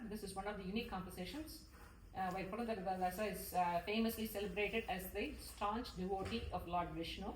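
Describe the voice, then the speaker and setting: A woman giving a lecture read from notes, halting now and then over longer terms. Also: medium pitch. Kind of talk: lecture read from notes with some informal asides. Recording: echoey room, distant mic, faint noise.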